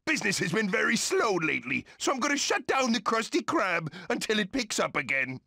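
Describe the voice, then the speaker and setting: An older, blustery crab restaurant boss for a cartoon game. The voice is high and gravelly and leaps widely in pitch, with a hearty, bossy sailor manner. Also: male voice, huge pitch swings, fairly quick, bright, forceful tone, dry recording, clean studio sound, full character voice. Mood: gruff, glum. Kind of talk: cartoon video game acting.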